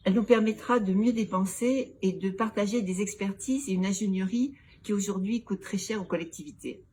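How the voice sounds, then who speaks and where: A woman, a local elected official, giving prepared, formal interview answers in a structured, didactic and measured way. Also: mid-range voice, a little mellow. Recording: clearly noisy background ambience.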